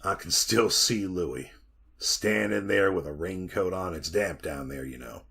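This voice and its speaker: An actor playing a folksy mine guide with a dark tale, in the style of 1940s radio drama. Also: male voice, sits low, measured pace, creaky, husky, full character voice. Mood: brooding, confiding. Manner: folksy, colloquial storytelling. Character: mine guide recalling a dreadful past, brooding and cocky.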